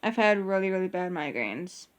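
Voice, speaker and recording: A woman's voice: lowish voice, expressive, normal speed. A young woman chatting casually in a couple's vlog, rambling along with restarts. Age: young adult. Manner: rambling, many restarts and fillers. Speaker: vlogger. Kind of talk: casual chat.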